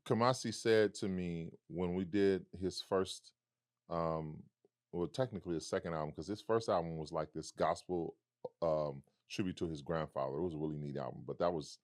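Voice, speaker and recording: A man with a deep baritone voice, reflecting on his past as a guest in a casual conversation and acting out remembered dialogue. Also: normal speed, no room sound, clean studio sound. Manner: reflective, storytelling with quoted dialogue. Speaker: musician guest.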